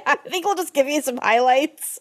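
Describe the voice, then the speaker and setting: A woman with a fairly high voice co-hosts a casual, comedic podcast. She is chatty and playful, tending to riff as she talks. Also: moderate pace. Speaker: podcast co-host. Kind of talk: casual comedic chat about horoscopes.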